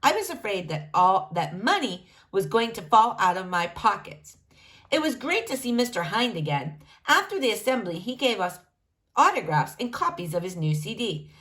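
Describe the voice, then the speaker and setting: A woman reading a storybook aloud to children in an expressive, animated narrating style. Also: medium pitch, moderate pace. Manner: addresses young listeners directly, animated.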